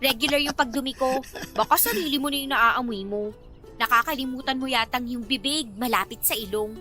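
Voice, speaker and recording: Bright, high, sassy young woman. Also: fairly quick. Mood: indignant. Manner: sassy, bantering. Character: feisty, playful young woman among close friends. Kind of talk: radio drama acting. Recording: music bed behind the voice.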